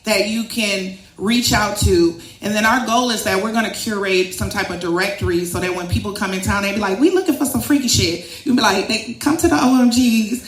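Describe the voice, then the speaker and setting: A woman's voice: mid-range voice, fairly quick, few pauses. A woman introducing an event and its hosts in a loose, chatty, joking way. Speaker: event organizer and host. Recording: echoey room.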